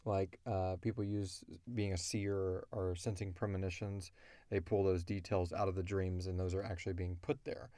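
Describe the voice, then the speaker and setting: A man with a fairly low voice hosts a casual podcast. He talks in a rambling, explanatory way, and the recording is dry with little room sound. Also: little pitch movement, normal speed, warm tone, dry recording. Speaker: podcast host and show creator answering listener questions.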